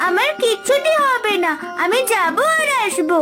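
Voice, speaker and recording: Very high-pitched little girl. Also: lively intonation, moderate pace. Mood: earnest, concerned. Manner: earnest, childlike. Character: sweet, helpful little village girl. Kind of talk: cartoon folktale acting. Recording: loud music behind the voice.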